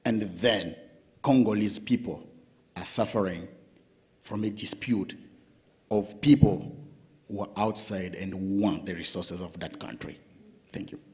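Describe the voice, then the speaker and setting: Man, from zambia, audience question, low voice, deliberate. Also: steady tone, a little mellow. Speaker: audience member asking a question. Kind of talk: question from the floor.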